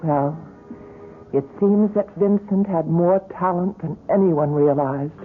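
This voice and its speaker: A woman's voice: lowish voice, unhurried, narrow, tinny audio, soft background music, natural voice. An actress playing a devoted, encouraging woman who works at a gallery, in old radio drama style. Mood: reflective narrating. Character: devoted, encouraging woman gallery worker recounting her painter friend's story. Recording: thin band-limited old radio broadcast.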